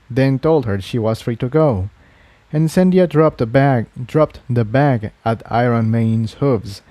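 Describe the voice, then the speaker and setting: A man narrates fiction in a dark, mellow voice at a medium pitch. The recording is dry and close. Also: no room sound. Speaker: audiobook narrator. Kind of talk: scripted story narration.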